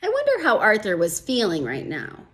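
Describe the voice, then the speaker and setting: A woman's voice: mid-range voice, normal speed. A woman reading a children's picture book aloud and stopping to explain her thinking, like a teacher. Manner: gentle, expressive storybook reading with thinking-aloud asides. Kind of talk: children's read-aloud with comments.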